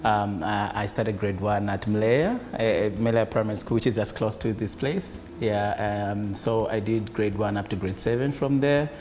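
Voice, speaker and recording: A man's voice: lowish voice, unhurried, few pauses, a little mellow, slight background noise. A man from Zambia, a business founder, answering interview questions in a hesitant way with many fillers. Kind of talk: conversational interview.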